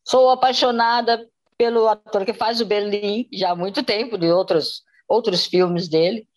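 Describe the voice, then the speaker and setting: Mid-pitched Brazilian woman chatting. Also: fairly even intonation, moderate pace. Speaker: actress on the show. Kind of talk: casual chat.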